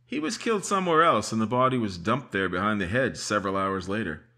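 Radio mystery: a man voicing a self-assured sheriff who explains the case step by step. His voice is bright, with a lively, rising and falling pitch. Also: fast talker. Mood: confident. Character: confident male sheriff, triumphant. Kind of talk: radio drama acting.

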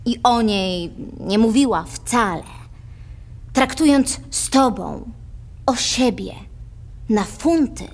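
Defiant teenage countess, higher voice, very measured solemn verse, audio drama. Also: female voice, exaggerated voice. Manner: solemn, deliberate, poetic delivery. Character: proud, defiant sixteen-year-old aristocratic girl. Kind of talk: theatrical verse drama acting.

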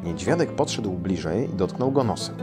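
A man's voice: low voice, animated, normal speed, loud music behind the voice. A man, a storyteller reading a children's tale aloud in a room. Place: small furnished room, indoors.

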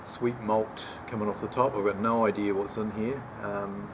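A man in his forties with a somewhat low voice, talking easily into a nearby camera mic on an outdoor patio. His manner is relaxed and chatty, with lots of "um" pauses. Clear background noise and outdoor ambience sit under the voice. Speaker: homebrew channel host. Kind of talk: casual beer review vlog. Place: sunny backyard patio, outdoors. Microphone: camera mic at close range, later handheld while walking.

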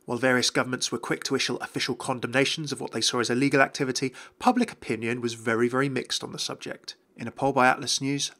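A man narrates a scripted lore explainer. His voice is bright and forward, at a middling pitch, with the measured delivery of a video presenter. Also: gravelly.

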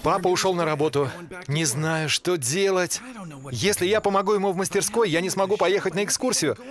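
A man's voice: high voice, a little fast, lightly acted. An actor voicing an unsure, worried teenage boy in a cartoon dub.

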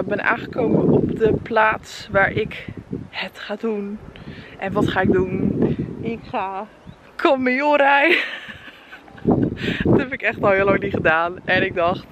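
A woman's voice: medium pitch, a little slow, very noisy. A young woman in her twenties, chatting upbeat to a handheld camera at arm's length while on holiday. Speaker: travel vlogger. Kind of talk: casual travel vlog. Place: outdoors, hotel rooms and a spa on holiday.

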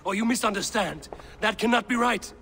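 An actor playing an earnest, stubborn Viking warrior in a video game. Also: male voice, high-pitched, fairly quick, pushed and bright, faint music behind. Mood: defiant. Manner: earnest, defiant. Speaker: game protagonist.

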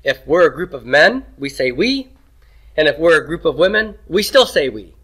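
A man's voice: on the high side, big pitch swings, moderate pace, a bit bright. A man teaching a Hebrew grammar class in a classroom, explaining patiently in a conversational way. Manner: explanatory, conversational, occasional throat clearing. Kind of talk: classroom lecture. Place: classroom in Texas.

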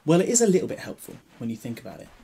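A man's voice: on the high side, very animated, racing, vocal fry, mellow, slight background noise. A man playing a chatty, eccentric time-travelling alien in an audio drama, whimsical and breezily distracted. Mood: chatty, breezy. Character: eccentric, chatty, newly regenerated male Time Lord.

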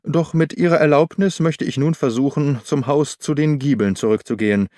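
A man voicing a weary, earnest and dignified gentleman in an audiobook, speaking in formal, measured phrases. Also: a little high, no echo, clean studio sound, close to an everyday voice. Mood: earnest, pained. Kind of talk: audiobook character dialogue.

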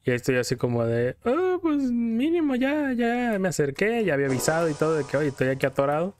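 A man's voice: a little high, sing-song, fast, slight background noise. A man with a Mexican accent telling a story in casual, colloquial style while commenting as a gameplay host. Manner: colloquial, acts out quotes in passing.

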